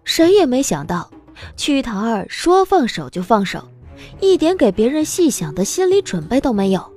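A woman narrating a scripted audio drama in an expressive storytelling style. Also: fairly high pitch, normal speed, soft background music.

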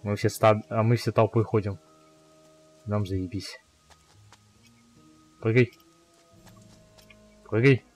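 A man giving casual, sweary let's play commentary on a fantasy game, excitable in the way he talks. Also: on the low side, slow, pauses often, soft background music. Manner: foul-mouthed, excitable. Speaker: gamer playing a fantasy game.

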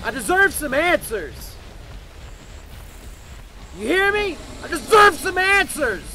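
Dramatic, very high-voiced man, noisy recording. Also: very animated, slow. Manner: emotional, dramatic, repeats short exclamations. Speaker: gaming video creator. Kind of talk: improvised first-person video game roleplay.

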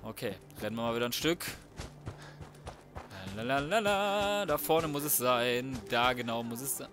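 A man's voice: medium pitch, normal speed, over music. A man giving casual let's play commentary, thinking aloud in slang with some swearing. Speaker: gaming video host.

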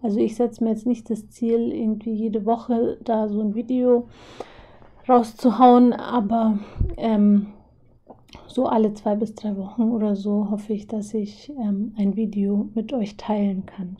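A young woman with a hoarse, husky voice from a cold, explaining a topic casually over drawings with lots of filler pauses. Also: medium pitch, flat delivery, dark tone. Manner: chatty, many filler pauses. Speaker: video host.

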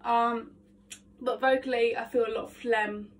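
A woman's voice: fairly high pitch, steady tone, measured pace. Congested young woman chatting to her phone. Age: young adult. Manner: chatty, self-talking, lots of ums. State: congested, nasal from hay fever. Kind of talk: casual vocal warm-up vlog. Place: small attic bedroom at home. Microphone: phone camera across the room. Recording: faint room noise, distant from phone.

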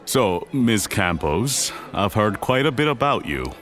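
Deep-voiced, measured older tycoon. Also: male voice, sing-song, a bit bright, soft background music. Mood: composed, gracious. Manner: measured, formal, deliberate. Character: powerful, composed older chaebol businessman and father. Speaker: actor in a podcast drama. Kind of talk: audio drama acting.

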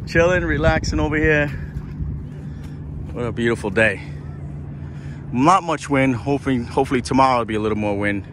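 A man's voice: mid-range voice, normal speed, long pauses. A middle-aged man casually narrating his sailing trip, talking into a phone held at arm's length while out on a small sailboat on a lake. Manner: relaxed, chatty, laughs easily. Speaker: sailing vlogger. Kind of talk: casual vlog narration and voiceover. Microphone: phone held at arm's length, no wind muffler. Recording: wind and water noise outdoors.

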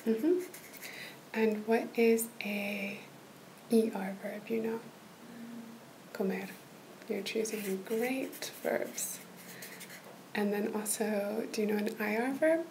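A woman tutors a listener in an ASMR-style roleplay, her voice a soft, breathy whisper. She speaks slowly and patiently, with gentle encouragement. Her pitch sits in the middle range. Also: fairly even intonation, a little hiss or hum, rough recording. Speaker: language tutor.

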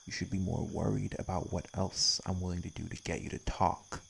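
A man playing a brash, threatening male werewolf in an audio roleplay, gruff and hostile. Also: low-pitched, flat delivery, fairly quick, fairly bright tone, a little hiss or hum, exaggerated voice. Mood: menacing. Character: brash, menacing male werewolf hunting a vampire. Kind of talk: dramatic audio roleplay acting.

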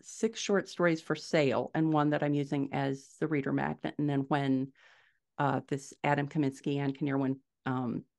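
Low-pitched middle-aged woman, explaining. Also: little pitch movement, normal speed, gravelly, darkish tone. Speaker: podcast guest, indie fiction author. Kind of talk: conversational interview answers. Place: small furnished room at home, indoors. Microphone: video call.

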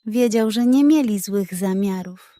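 A woman's voice: medium pitch, a little slow, mellow, dry recording, clean studio sound. A woman storyteller reading a scripted children's bedtime story.

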